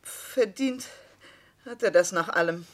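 A woman's voice: mid-range voice, slow, close to an everyday voice. An actress in a radio play playing an aggrieved wife, earnestly complaining about her husband's slights. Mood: resigned. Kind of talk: German radio play acting.

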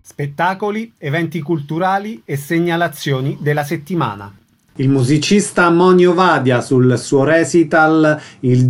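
A man hosting on the radio, announcing shows and introducing guests. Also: fairly high pitch. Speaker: radio host. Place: radio broadcast.

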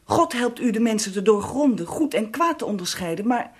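Casting: pious, fervent empress for radio drama, husky yet high-pitched, emotional and expressive. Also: female voice, fairly quick. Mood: pleading. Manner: fervent, reverent. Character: fervently devout, emotional Russian empress, a grown woman.

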